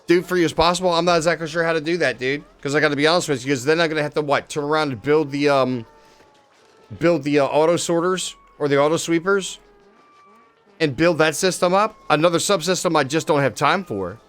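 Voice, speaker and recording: A man's voice: on the high side, fairly quick, clear, resonant tone. A man live-streaming a game, chatting casually with viewers and swearing freely as he plays. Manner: excitable, swears casually, talks to chat.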